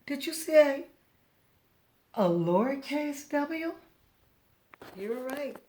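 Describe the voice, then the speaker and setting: A woman's voice: high-pitched, lively intonation, drawn-out words, darkish tone. A woman speaking as a teacher of young children, encouraging and patient, going step by step and posing questions to the listener. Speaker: preschool teacher. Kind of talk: children's lesson, asking and answering questions.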